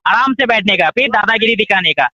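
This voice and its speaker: A man's voice: high voice, lively intonation, brisk, crowd noise. A man working as a loan recovery agent, scolding and hectoring a borrower over a phone call, repeating his demands. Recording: muffled phone call recording.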